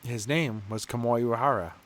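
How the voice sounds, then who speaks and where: A man reading game narration aloud in a measured, dramatic storytelling way. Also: mid-range voice, fairly bright tone, slight background noise.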